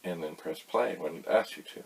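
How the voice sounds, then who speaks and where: A man with a fairly low, husky voice hosts a casual explainer. He talks in a folksy, rambling way, wandering into asides and pausing for emphasis. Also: poor audio. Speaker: host of a retro computer tutorial channel.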